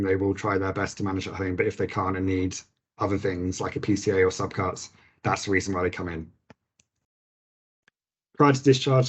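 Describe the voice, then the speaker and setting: Guy teaching a nursing session, lowish breathy voice, kinda croaky, lots of ums. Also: a little mellow. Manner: informal, with frequent fillers and restarts. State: slightly croaky voice. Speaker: nurse teaching a clinical session. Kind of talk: clinical teaching talk.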